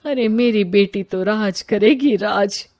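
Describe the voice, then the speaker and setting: A woman's voice: mid-range voice, slow, a little mellow, no echo, natural voice. A woman voicing a pushy middle-aged village mother in a cartoon story, dramatic and pleading. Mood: threatening, boastful.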